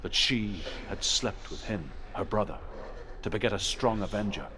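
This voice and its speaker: A man playing a grave storyteller who narrates old legends in a video game with measured, dramatic delivery. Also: on the low side, natural voice. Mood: solemn, grave. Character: solemn male storyteller of old Norse legends. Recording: game audio with clear background noise.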